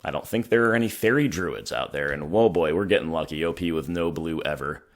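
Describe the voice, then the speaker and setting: A hoarse man thinking aloud in a wry, self-mocking way as he commentates his own gameplay. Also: a bass voice, brisk. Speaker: gaming channel host.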